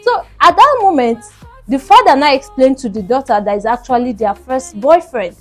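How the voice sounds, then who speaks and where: A woman's voice: high-pitched, lively intonation, moderate pace, faint music behind. A woman hosting a story show, telling a first-person tale in an emphatic, dramatic way with repeated phrases.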